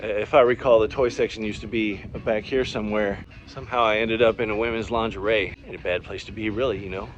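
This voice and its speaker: A man's voice: on the low side, fairly even intonation, fast, hardly any pauses, muffled recording. A man hosting a vlog, talking in a casual, chatty way with slangy, laid-back fan enthusiasm. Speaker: YouTube host reviewing movies and toys.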